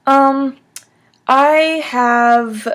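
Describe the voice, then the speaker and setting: Female, twenties, voice a touch high; casual job applicant on an interview call, informal phrasing. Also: drawn-out words, background noise. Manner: casual, frequent "like" as filler. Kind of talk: phone job interview, conversational answers. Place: small room at home, indoors.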